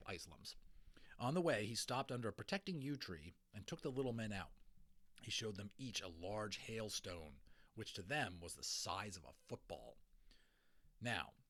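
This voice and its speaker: Low-voiced man reading a children's story. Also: no room sound. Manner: expressive, storytelling. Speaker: audiobook narrator. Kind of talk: dramatic children's story reading with informal asides.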